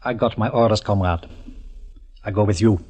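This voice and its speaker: An actor playing a watchful communist minder in a 1950s radio drama, speaking in clipped, formal broken English. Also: male voice, fairly low pitch, normal speed, loud music behind the voice, close to an everyday voice. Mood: curt.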